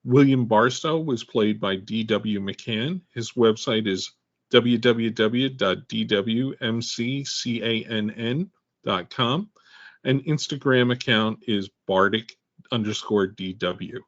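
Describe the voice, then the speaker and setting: A man's voice: lowish voice, slow, dull audio. A man reading scripted production credits as an announcer.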